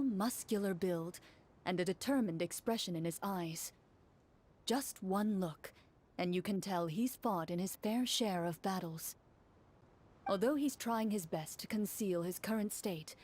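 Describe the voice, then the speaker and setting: An actress playing a calm, serious young swordswoman who narrates as game master in a composed, measured way. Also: medium pitch, lightly acted. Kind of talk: video game voice acting. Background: game music.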